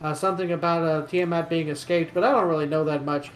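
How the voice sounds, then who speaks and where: A rambling, gossipy townsman from a fantasy tabletop game, played by a man, in a fairly high male voice. He hesitates, circles back and contradicts himself, with roomy echo around him. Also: steady tone, fast talker, lightly acted. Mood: rambling, unsure.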